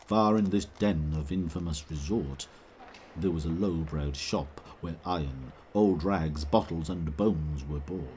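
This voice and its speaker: A man giving solemn, measured scripted narration for an audio drama. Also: sits low, darkish tone, a little hiss or hum.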